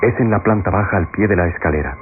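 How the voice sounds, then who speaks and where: Radio soap narrator: man, very low voice, suspenseful and dramatic, over music on an old recording. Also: fast, phone-quality sound. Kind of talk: classic radionovela scripted narration.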